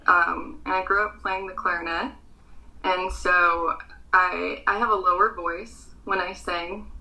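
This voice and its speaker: A woman's voice: on the low side, little pitch movement, a little slow, bright, forceful tone, poor audio. A young American woman in her twenties with an American accent, a pageant contestant answering interview questions earnestly, with frequent ums.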